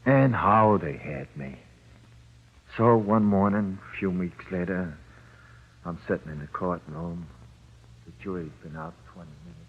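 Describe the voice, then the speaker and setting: A man's voice: low voice, unhurried, pauses often, breathy edge, narrow, tinny audio, exaggerated voice. An actor playing a panicky jailed gangster with slangy, uneducated tough-guy speech, in 1940s radio drama style. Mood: haunted, weary. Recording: scratchy, thin old radio recording.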